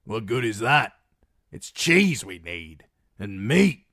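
A man's voice: on the low side, very animated, takes it slowly, creaky, a bit bright, pitched above the performer's own voice, heavily acted. A man voicing a surly, hungry male drinker who is sour and dismissive.

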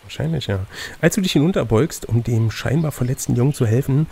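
A man's voice: medium pitch, fairly quick, gravelly, dark tone, husky. A man reading out an adventure gamebook as game master, slipping between narration and playful, teasing casual banter.

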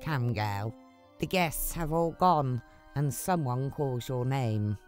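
A mature household nurse in a storybook drama. The voice is very low and slow, practical and cautioning, over quiet music. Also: female voice. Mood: urging.

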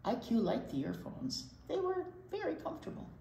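An older woman reading a picture book aloud to children in an expressive way, with asides to young listeners. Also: sits high, normal speed, vocal fry. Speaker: library storytime reader. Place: indoor library storytime set. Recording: roomy indoor sound.

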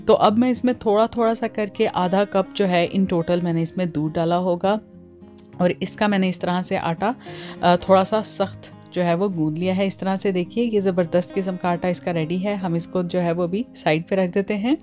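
A Pakistani-accented woman narrates a step-by-step recipe as a cooking host. Her voice sits a little low for a woman. She slips English words and phrases into her sentences, with faint music playing underneath. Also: steady tone, normal speed, a little mellow. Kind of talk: recipe tutorial voice-over.

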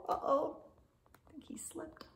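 A middle-aged woman reading a picture book aloud to young children in an expressive, sing-song way. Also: a little slow, in a whisper. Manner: expressive, sing-song delivery with animal sounds and hushed asides. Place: living room or home library with bookshelves.

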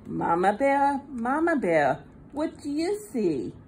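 A woman reading a picture book aloud to young children in an expressive, sing-song manner, recorded indoors at a table. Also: on the high side, slow. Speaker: children's storybook reader. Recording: faint background room noise.

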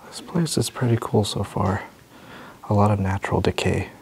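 A deep, gravelly male voice with a flat, unhurried delivery. He talks quietly to the camera while exploring inside an abandoned building. A faint hiss of noise sits underneath. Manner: low-key, observational, thinking aloud. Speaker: urban explorer host. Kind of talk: walking vlog narration.

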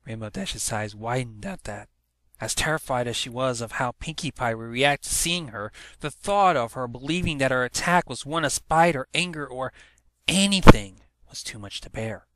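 A man's voice: mid-range voice, lively intonation, moderate pace, bright, forceful tone, slight background noise. A man reading a story aloud as narrator, in a dramatic storytelling manner. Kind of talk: scripted story narration, fan fiction read-aloud.

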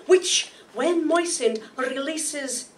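A woman playing a shrewd, confident lady detective in a staged radio mystery, reading deliberately and dramatically. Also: sits high. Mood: triumphant, accusing. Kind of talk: 1940s radio mystery acting, read from script. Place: live staged reading in a meeting hall. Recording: distant mic in noisy room.

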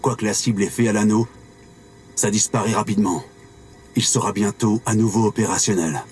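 Lowish-voiced purposeful soldier played by a man. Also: steady tone, brisk, a little mellow, slight background noise, close to an everyday voice. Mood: matter-of-fact. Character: purposeful male supersoldier reporting on duty. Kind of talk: video game character acting.

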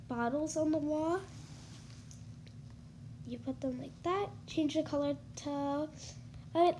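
Congested high-voiced young woman, slow and chatty. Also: warm tone, slight background noise. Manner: chatty, hesitant, lots of ums and likes. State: congested from allergies. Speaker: gaming YouTuber. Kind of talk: casual game-build tour voice-over.

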